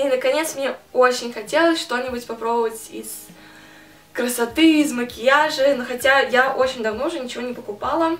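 A young woman in her twenties chatting through a casual haul vlog in her bedroom, animated and self-mocking. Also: high-pitched, reverberant, far from the mic. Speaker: beauty vlogger. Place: bedroom with string lights, indoors.

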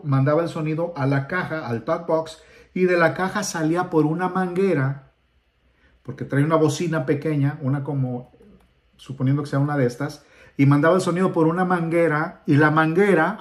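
A man hosting a gadget video, explaining to viewers in a casual, colloquial, rambling way that often breaks off and restarts. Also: fairly high pitch. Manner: colloquial, rambling, self-interrupting. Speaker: host of a gadget unboxing video.

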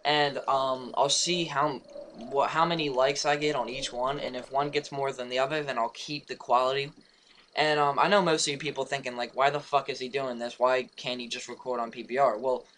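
Raspy, just-woken young man. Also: mid-range voice, steady tone, a little fast, fairly bright tone. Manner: rambling, many fillers and restarts, casual swearing. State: hoarse, just woke up. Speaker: gaming channel host. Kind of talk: casual channel update. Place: home room beside his gaming setup.

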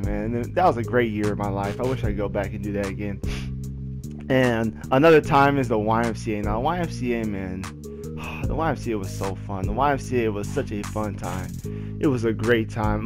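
A young American man casually telling personal stories in a slangy, repetitive, animated way. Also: mid-range voice. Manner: slangy, repetitive, animated retelling with acted quotes. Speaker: gaming video storyteller. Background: background music with guitar.

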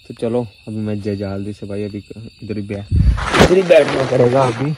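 A man's voice: fairly low pitch, normal speed, noisy background. A young man in his twenties filming a solo camping vlog on a handheld phone, outdoors in a forest at night and inside a small tent. He talks nervously, stammering and restarting words. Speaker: vlogger. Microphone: phone held at arm's length.

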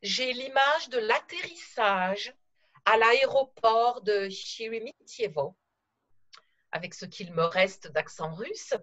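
A middle-aged woman recalling her travels in a slow, careful storytelling manner over a video call from her living room. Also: fairly high pitch, a bit bright, highs cut off. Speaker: interview guest. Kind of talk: conversational podcast interview.

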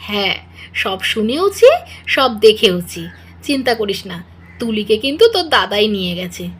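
A woman's voice: mid-range voice, big pitch swings, normal speed, slightly rough. A woman voicing a knowing, teasing elder sister-in-law in a doll-play drama, wry and reassuring. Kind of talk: melodramatic doll-play dialogue.

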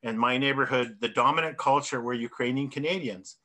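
A man's voice: mid-range voice, a little fast, pushed and bright. A middle-aged man speaking reflectively in a panel discussion over a video call from a home office. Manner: reflective, gestures while talking, self-correcting. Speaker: artist presenter. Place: home office with bookshelves and plants. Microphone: video call webcam.

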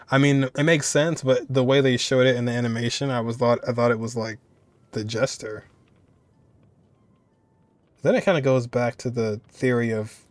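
A young man talks casually as a streamer reacting to a game. His pitch is mid-range and the sound is dry. He muses in halting phrases that often trail off. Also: darkish tone, no echo. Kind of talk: casual off-the-cuff commentary.

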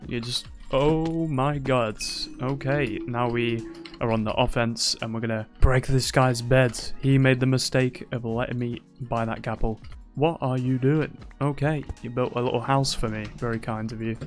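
A man's voice: mid-range voice, fairly even intonation, normal speed, faint music behind. A young man giving casual, playful gameplay commentary as a gaming YouTuber, teasing opponents and boasting jokingly, his voice a little worn. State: slightly losing his voice.

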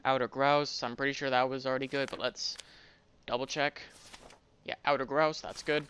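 A man's voice: mid-range voice, flat delivery, moderate pace, fairly bright tone. Rambling male gamer over audible noise. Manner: rambling, thinking aloud, self-deprecating. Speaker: gaming video host. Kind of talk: casual gameplay commentary.

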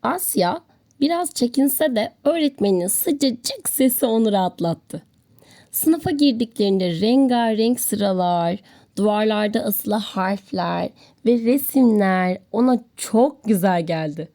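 A woman narrating a children's story in an expressive, scripted storytelling style. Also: mid-range voice, takes it slowly.

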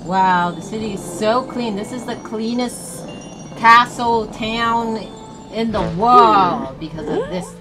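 A woman's voice: a little high, expressive, takes it slowly, rough recording. A woman in her thirties chatting casually as a mother playing a game with a young child, exclaiming, encouraging and asking simple questions. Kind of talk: casual play-along chat. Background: music.

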